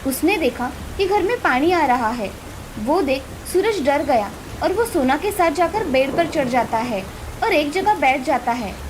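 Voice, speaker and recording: A woman's voice: high-pitched, animated, a little slow. A woman narrating a scripted moral story as a storyteller. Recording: clearly noisy, with ambience behind.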